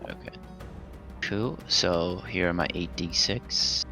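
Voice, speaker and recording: A man's voice: low voice, takes it slowly, sharp tone, soft background music. A man playing a tabletop roleplaying game, chatting casually as he works out his moves.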